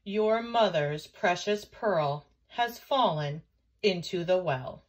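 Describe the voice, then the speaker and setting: A grumpy old man from a children's storybook, as a woman narrator plays him. He speaks slowly and grumbles, drifting into a wistful, dreamy manner, pitched lower than a small child. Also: expressive, poor audio. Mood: grudging.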